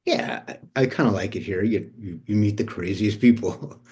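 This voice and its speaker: A man's voice: lowish voice, moderate pace, a little mellow, lightly acted. An actor reading the part of a laid-back, wounded, affectionate man who talks in loose, hesitant, sweary phrases at a script table read. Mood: wistful, affectionate.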